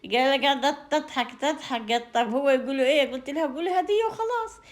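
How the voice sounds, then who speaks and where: A woman's voice: high-pitched, rapid-fire. A woman from Upper Egypt with a Sa'idi accent, chatting casually to her viewers as a home vlogger, repeating phrases and offering effusive blessings and endearments. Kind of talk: casual chat to viewers, showing food gifts.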